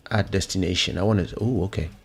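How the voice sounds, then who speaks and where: Male stream host, low gravelly voice, casual and pause-filled, muttering as he thinks aloud. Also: soft background music. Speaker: livestream host and musician. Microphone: close podcast microphone.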